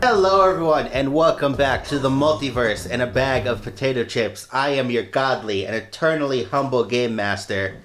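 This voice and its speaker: A man hosting a tabletop game podcast as game master, joking and quick to laugh. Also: mid-range voice. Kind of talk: casual podcast banter.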